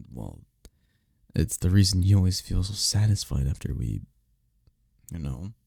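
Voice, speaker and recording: A man acts out an incubus lover comforting his partner in an intimate ASMR roleplay. His voice is dark and husky, recorded very dry and close. He is soft-spoken and tender, with a playful, teasing streak and a lot of melodic rise and fall. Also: no room sound, heavily acted. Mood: sultry, explaining. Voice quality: breathy, gravelly low voice.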